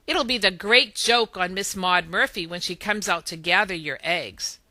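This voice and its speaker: Woman playing a bright, bossy little male leprechaun. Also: fairly low pitch, normal speed, no room sound. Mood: coaxing. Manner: Irish turns of phrase, commanding.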